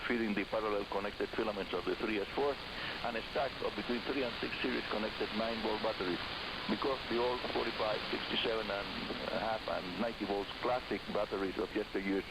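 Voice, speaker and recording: A man's voice: on the low side, fairly even intonation, a little fast. Older man on hissy shortwave radio. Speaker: radio show host. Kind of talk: scripted hobby radio program presentation. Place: radio studio, heard over shortwave receiver. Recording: hissy, noisy shortwave radio broadcast reception. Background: loud hiss and static.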